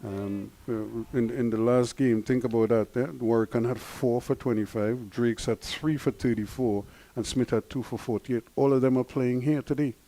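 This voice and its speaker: A man commentating live cricket in a measured, analytical way, his accent a variation of Guyanese. His voice is mellow and dark-toned, and he breaks into easy chuckles between points. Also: medium pitch, fairly even intonation.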